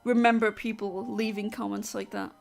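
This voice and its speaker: A woman's voice: mid-range voice, level tone, moderate pace, gravelly. A woman from Europe, a live streamer, chatting casually and answering her viewers' comments aloud while she plays.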